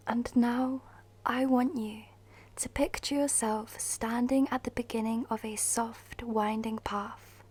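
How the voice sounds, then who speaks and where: Breathy, slow-speaking woman guiding meditation. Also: on the high side, steady tone. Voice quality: breathy, soft, half-whispered. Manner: soothing, measured, with long pauses. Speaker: meditation guide. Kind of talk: guided meditation, scripted narration. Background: music.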